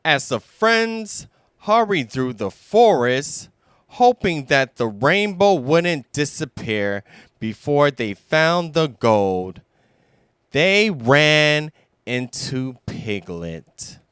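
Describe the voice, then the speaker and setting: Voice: mid-range voice, very animated, extremely slow, fairly bright tone, clear, resonant tone, no room sound, studio quality. A storyteller reading a scripted children's story aloud.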